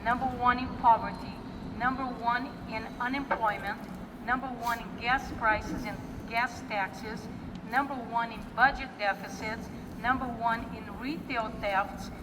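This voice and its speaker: A woman's voice: a little high, steady tone, unhurried, background noise. Woman rally speaker, emphatic repeated lines, heard from far off through a PA with long reverb. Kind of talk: prepared political rally speech. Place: outdoor rally at a state house. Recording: distant echoey outdoor PA recording.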